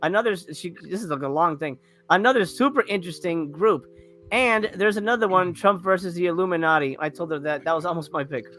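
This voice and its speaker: A man hosting a casual panel chat about movies, talking in a halting, rambling way. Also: high voice, a bit bright, faint music behind. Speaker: host of a movie review panel.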